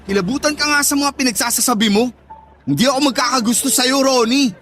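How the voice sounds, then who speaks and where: Young Filipino male, very high voice, scornful and wounded, radio drama confrontation over a music bed. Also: fast, pushed and bright. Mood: scornful, disgusted. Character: angry, betrayed young straight man rejecting his friend. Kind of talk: Tagalog radio drama acting.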